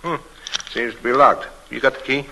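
A genial police inspector in a vintage radio thriller. His mid-pitched voice is bright and forceful, yet he probes casually and politely, in no hurry. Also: fairly even intonation, vocal fry, low-quality recording. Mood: casually probing. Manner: affable, unhurried, casual probing. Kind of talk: old-time radio thriller acting.

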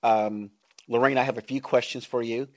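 A man's voice: medium pitch, fairly even intonation, moderate pace, dry recording, highs cut off. A middle-aged man with an American accent, hosting a podcast with scripted introductions and interview talk. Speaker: podcast host.

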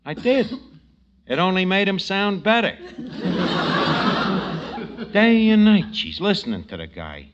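An actor playing a wisecracking, gloomy New York tavern manager in a 1940s radio comedy, slangy and grumbling with a New York accent. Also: male voice, high-pitched, a little slow, long pauses. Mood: exasperated. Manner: slangy, ungrammatical, grumbling deadpan. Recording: muffled, noisy old radio recording.

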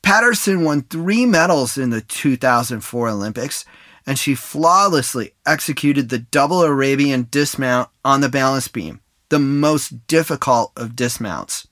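Man, podcast host, scripted narration, smooth read, dry studio sound. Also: medium pitch, lively intonation, measured pace, fairly bright tone, no echo. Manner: smooth read-aloud delivery.

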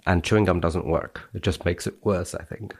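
A man's voice: deep voice, fairly even intonation, moderate pace, vocal fry, breathy edge. A man, a researcher appearing as a podcast guest, reading studies dramatically and chatting about them.

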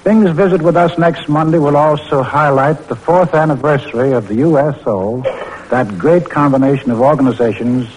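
A man's voice: a little high, moderate pace, darkish tone, a little hiss or hum, rough recording. A man hosting a 1940s radio program, reading a script in a formal, courteous manner. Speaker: radio host.

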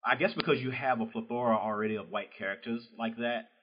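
A man's voice: mid-range voice, fairly even intonation, quick pace, a little hiss or hum. A male podcast host with a Southern US accent interviewing an author in a loose, chatty way, full of "you know" fillers. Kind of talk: casual interview chat. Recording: dull lo-fi sound.

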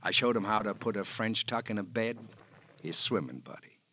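Brooding loyal friend, American, low voice, very animated intonation, halting phrases, old radio drama. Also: male voice. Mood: earnest, protective. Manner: halting, reflective, theatrical short phrases. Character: brooding, loyal male confidant, protective older friend. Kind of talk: 1950s American radio crime drama acting. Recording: faintly noisy old radio recording.

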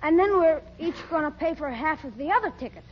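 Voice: high-pitched, animated, fast. A performer playing an eager, wheedling young schoolboy in a 1940s radio comedy, sheepish and slangy. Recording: dull, noisy old radio recording.

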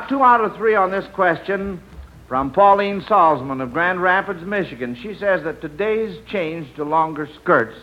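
A man with an American accent hosting an old radio quiz, phrasing questions deliberately with some hesitation. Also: on the high side. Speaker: quiz show host. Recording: muffled old 1940s radio recording.

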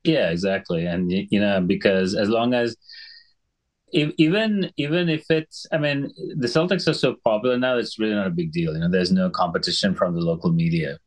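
A middle-aged man from Sri Lanka, a physician guest in a conversational interview, talking in a measured, explanatory way and often clearing his throat. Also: fairly low pitch.

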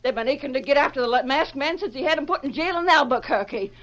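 A woman's voice: high-pitched, lively intonation, fast talker, fairly bright tone. A woman playing a feisty, scolding frontier ranch owner with a rustic Western American accent, blunt and folksy, in old radio western style. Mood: alarmed. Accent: rustic American West.

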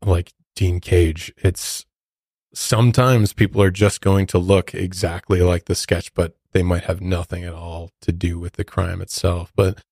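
A man's voice: sits low, little pitch movement, a little slow, a little mellow, breathy edge, no echo, studio quality. A man co-hosting a true crime podcast, chatting casually and speaking directly to the listeners.

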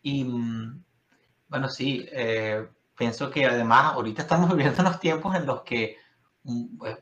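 A man's voice: a little high, a bit bright, roomy sound, muffled recording. A Venezuelan man hosting a podcast, chatting casually with a guest and rambling through restarts and fillers. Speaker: podcast host. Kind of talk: casual interview chat. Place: Florida.

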